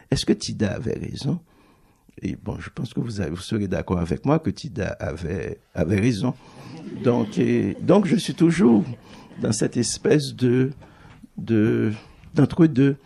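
Gravelly, husky-voiced man speaking reflectively. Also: mid-range voice, dark tone. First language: Creole. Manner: thoughtful, halting, restarts phrases often. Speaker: book publisher. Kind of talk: reflective public talk.

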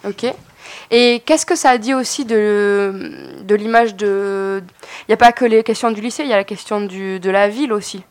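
A woman's voice: medium pitch, fairly even intonation, moderate pace. A woman moderating a school radio discussion, inviting others to speak, with a few hesitant restarts.

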